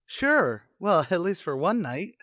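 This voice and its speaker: An actor playing an eager, excitable teenage schoolboy in a radio comedy, with a breezy, brash, slangy manner. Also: male voice, sits high, takes it slowly, dry recording, clean studio sound, exaggerated voice. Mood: scheming, brash. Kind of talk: old-time radio comedy acting.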